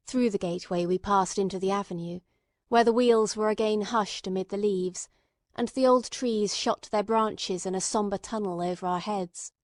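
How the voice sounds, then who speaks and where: A woman's voice: mid-range voice, dry recording, studio quality. A woman reading scripted literary narration as an audiobook narrator.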